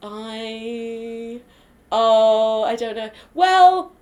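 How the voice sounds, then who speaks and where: A woman with a nasal, projecting voice, chatting excitably as a podcast guest, full of fillers and quick laughs. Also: fairly high pitch. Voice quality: loud, projecting voice. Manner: bubbly and excitable, laughs often, many fillers. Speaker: podcast guest, improv performer. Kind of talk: casual podcast interview.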